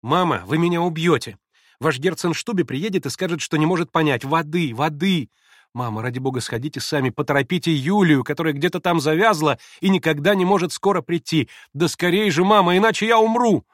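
A man reading aloud, voicing an excitable, imperious young invalid girl who gives urgent commands. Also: medium pitch, a little fast, dry recording, studio quality, lightly acted.

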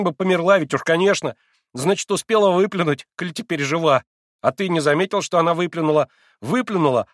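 A man's voice: mid-range voice, a bit bright, no room sound, studio quality. A man voicing a proud, eager teenage boy in an audiobook, exclaiming and explaining in a bossy showman's way. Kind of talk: acted audiobook dialogue.

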